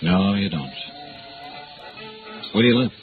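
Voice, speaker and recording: A man's voice: low voice, expressive, a little slow, narrow, tinny audio. An American man playing a tough, world-weary insurance investigator in 1950s radio noir style, terse and hardboiled. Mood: terse, probing. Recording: old band-limited radio recording, loud music.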